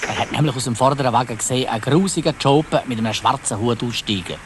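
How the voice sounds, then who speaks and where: A man's voice: mid-range voice, fast, noisy background. A man with a Swiss German accent narrating a dramatised children's story, addressing young listeners directly.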